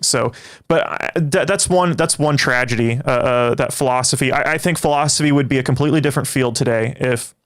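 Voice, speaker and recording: A young man, a native Greek speaker, chatting with his livestream audience in a rambling, halting way with many restarts. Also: medium pitch. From Greece. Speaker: livestream host. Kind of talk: casual livestream chat.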